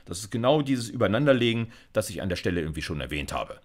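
A man's voice: on the low side, very animated, fast. A man, explaining like a university lecturer in an informal, conversational way, often checking in with short tags. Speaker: university computer science lecturer.

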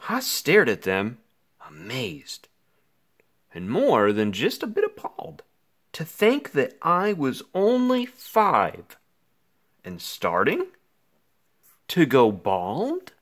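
A man reads a rhyming children's book aloud in the role of an anxious little boy. His delivery is theatrical and extremely animated, with pitch leaping up and down. He takes it slowly, leaving dramatic pauses. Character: anxious, melodramatic five-year-old boy narrating his woes. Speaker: picture book reader.

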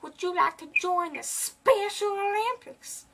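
A man's voice: extremely high pitch, a little slow, gravelly, pushed and bright, pitched above the performer's own voice, full character voice. A young man playing a chirpy, politely insistent phone canvasser who keeps repeating himself. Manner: polite, repetitive pestering. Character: chirpy, insistent Special Olympics caller named Ken. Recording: noisy home camcorder recording.

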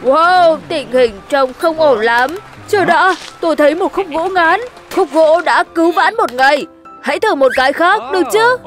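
A woman voicing an earnest, resourceful lion in a children's cartoon, with an expressive, singsong rhyming delivery. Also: sits high. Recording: light music behind the voice.

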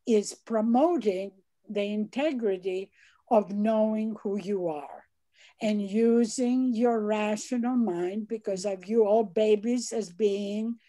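A woman's voice: medium pitch, slow. An older woman, born in Greece and now a psychiatrist, speaking earnestly on a video call from her home study with a headset. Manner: earnest, emphatic, rising to loud points. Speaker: psychiatrist commenting in Q&A. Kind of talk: online seminar comment.

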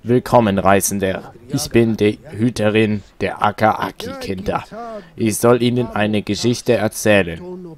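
Man reading as a measured storyteller guardian. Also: fairly low pitch, a little mellow. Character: kindly female storyteller, guardian of children.

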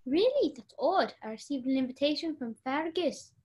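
A woman acting a snobbish, high-strung married lady in a mystery radio play, emotional and imperious. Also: animated, normal speed, dark tone. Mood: gushing.

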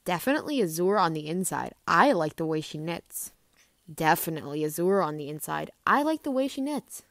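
A woman's voice: fairly low pitch, sing-song, brisk, creaky, no echo. An odd, dreamy young female dragon, played by a woman, talks whimsically. The sound is dry, like an amateur fantasy cartoon.

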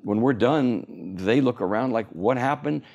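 A man's voice: medium pitch, measured pace, darkish tone, dull audio. A man in his sixties, an oral surgeon, being interviewed on a TV set, explaining eagerly with many fillers. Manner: enthusiastic, explanatory, frequent fillers. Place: TV studio.

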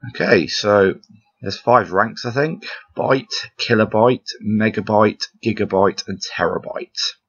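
A man with a low, husky and croaky voice chats loosely while playing a puzzle game, trailing off and teasing himself. The recording is a bit dull and lo-fi, with faint game music underneath. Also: slow, fairly bright tone. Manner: rambling, self-deprecating, chuckles often. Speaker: gameplay commentator. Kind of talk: casual gameplay commentary.

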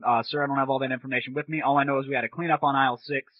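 A man's voice: medium pitch, fairly even intonation, very fast, no room sound. A sarcastic, foul-mouthed man hosting an irreverent comedy show with prank calls. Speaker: show host. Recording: dull lo-fi old internet radio audio.

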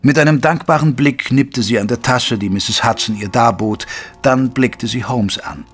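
An actor playing a caring gentleman physician who narrates a German audio drama in a measured, literary way. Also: medium pitch, slightly rough, soft background music, lightly acted. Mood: measured narrating.

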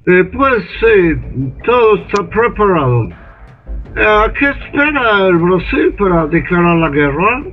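A scheming, manipulative ambassador, voiced by an older man, who talks in a high, drawn-out, persuasive way. It sounds like a radio play heard down a tinny phone line with faint music underneath. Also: big pitch swings, exaggerated voice. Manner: slow, insinuating, persuasive. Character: scheming male British ambassador, smooth and manipulative. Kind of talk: amateur radio drama acting over video call. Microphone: computer webcam mic on a video call.

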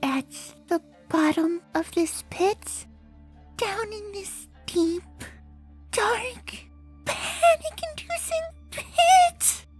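A woman voicing a timid, gentle young female pony in a comic dub, talking softly and hesitantly with a breathy, whispery voice. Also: extremely high pitch, lively intonation, very slow, soft background music, voice pushed up, full character voice. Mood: frightened. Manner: hesitant, shy, nervous.

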